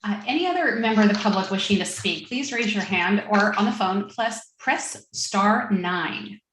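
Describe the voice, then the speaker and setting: A woman's voice: medium pitch, lively intonation, normal speed, a bit bright, roomy sound, slight background noise. A woman serving as mayor, chairing a public council meeting in an informal way with frequent fillers and restarts.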